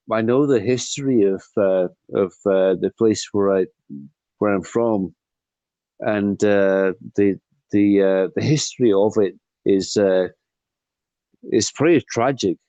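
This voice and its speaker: A Scottish man from the Highlands speaks slowly and haltingly, filling his sentences with ums and restarts. His voice sits a little low. The sound is dull and dry. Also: warm tone, dry recording. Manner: hesitant, many fillers and restarts. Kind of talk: casual discussion.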